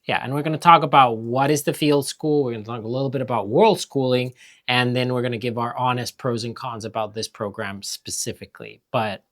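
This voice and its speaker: A man from Finland hosts a family vlog with his partner. He talks in relaxed, rambling chat full of fillers and asides, at an easy pace. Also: mid-range voice, fairly quick. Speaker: vlog host. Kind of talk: casual chat.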